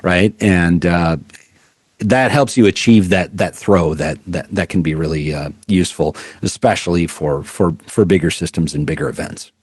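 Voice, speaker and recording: Low-voiced male product rep at a trade show, chatty and halting, dry sound. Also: darkish tone, slightly rough, no room sound. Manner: explanatory, many fillers and restarts. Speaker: product specialist. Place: busy trade show floor.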